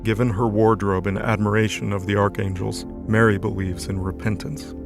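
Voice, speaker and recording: Gravelly, low-voiced male narrator. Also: little pitch movement, moderate pace, over music. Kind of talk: dramatic scripted narration.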